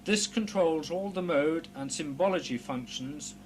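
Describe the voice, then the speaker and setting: A man narrates an instructional film in a formal, precise way, with a slightly high-pitched voice. He explains things in measured, scripted phrases. A light noise and hum sit behind the voice throughout. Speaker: narrator of a technical training film. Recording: clear background noise with low hum.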